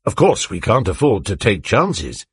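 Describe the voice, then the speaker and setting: A man's voice: on the low side, wildly swooping pitch, unhurried, husky, dry recording, clean studio sound, exaggerated voice. A man voicing a guarded, dutiful man who speaks with restraint. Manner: restrained and careful.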